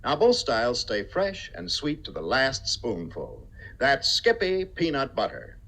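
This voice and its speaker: A man's voice: mid-range voice, huge pitch swings, measured pace, fairly bright tone, rough recording. A man working as a radio announcer in a polished, formal 1950s style, reading scripted copy.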